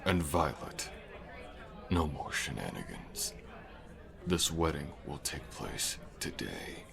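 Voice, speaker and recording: Someone acting a gravelly, deep-voiced stern king. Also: big pitch swings, takes it slowly, crowd noise, exaggerated voice. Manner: commanding, clipped, menacing. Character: stern, domineering older king, father of the princess. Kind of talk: audio roleplay drama acting.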